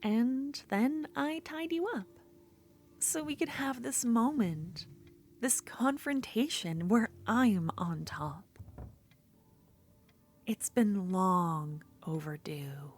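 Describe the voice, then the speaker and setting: A woman playing an obsessive, unhinged female duelist rival in an ASMR fantasy roleplay, speaking intimately and often slipping toward a breathy whisper. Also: a little high, slow pace. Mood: brooding, gloating.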